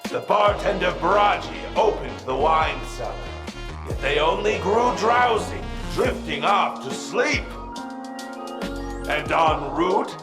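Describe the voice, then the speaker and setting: A flamboyant storyteller from a video game, spins myths with grand theatrical flourish. His voice sits high and swings widely, echoing over loud music. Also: full character voice. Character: theatrical storyteller spinning tall mythic tales.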